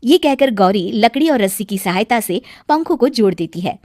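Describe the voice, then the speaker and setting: A woman's voice: medium pitch, expressive, moderate pace, darkish tone, dry recording, studio quality. A woman giving scripted narration for a children's moral cartoon story.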